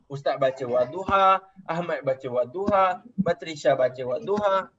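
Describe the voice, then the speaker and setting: A man's voice: on the high side, moderate pace, crowd noise. A young adult man with a Malaysian Malay accent teaching children in an online religious class, patiently repeating questions and checking whether they understand. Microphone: video call. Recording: muffled lo-fi video call audio.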